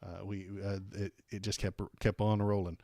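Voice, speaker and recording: An American man hosting a casual political livestream, rambling and halting with repeated words, irreverent and sarcastic as he chats with viewers. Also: fairly low pitch, little pitch movement, gravelly, warm tone, no room sound. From United States. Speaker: livestream host. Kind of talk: casual political commentary, chatting with live viewers.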